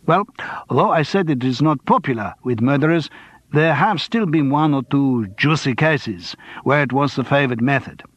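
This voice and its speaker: A man's voice: on the high side, no echo, voice pushed up. A man voicing a showy male TV crime presenter in an audiobook, savouring grisly details in a theatrical manner. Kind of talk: audiobook character dialogue, presenter patter.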